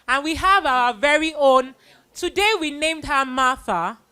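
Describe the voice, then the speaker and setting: A woman's voice: high-pitched, expressive, unhurried, sharp tone. A woman with a Nigerian accent hosting a live children's event, telling stories in an exclamatory way with acted-out quotes. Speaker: children's Bible story host.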